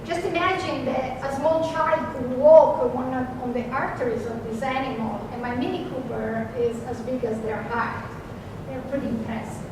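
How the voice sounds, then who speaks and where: A woman's voice: high-pitched, normal speed. A woman with a strong Italian accent telling personal stories as a marine biologist, with many hesitant fillers. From Italy. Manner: hesitant, frequent "uh" fillers, lively storytelling. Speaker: marine biologist giving a talk. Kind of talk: personal storytelling talk. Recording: echoey hall, distant mic, background noise.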